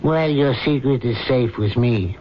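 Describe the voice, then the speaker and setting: A man plays a loyal, impudent manservant in an old radio play. He has a mid-pitched voice and a folksy, wry way of telling things, on a dull broadcast recording. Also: unhurried, a little hiss or hum, lightly acted. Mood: teasing. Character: loyal, cheeky manservant of a rabbi. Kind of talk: radio drama acting and storytelling.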